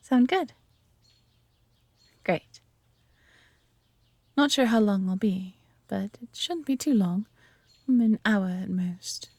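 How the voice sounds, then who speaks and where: A woman's voice: medium pitch, lively intonation, slow, pauses often, darkish tone, no echo. A woman with an English accent playing a friendly, adventurous elf archer in a roleplay audio drama, talking conversationally to the listener. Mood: friendly, chatty.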